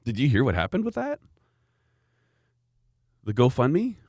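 A man talking in a rambling, animated way in casual chat, laughing often. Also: mid-range voice, a little slow, no echo, studio quality.

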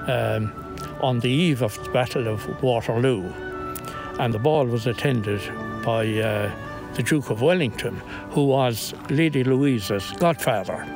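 An Irish man recounting local history as an interviewee, pausing and hesitant as he tells it. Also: medium pitch. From County Kilkenny, Ireland. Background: music.

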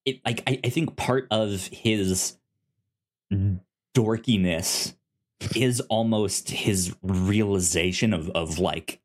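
Guy on a review podcast, very low voice, casual, keeps tripping on word starts. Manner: halting, stammering over word starts. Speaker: podcast co-host reviewing fiction.

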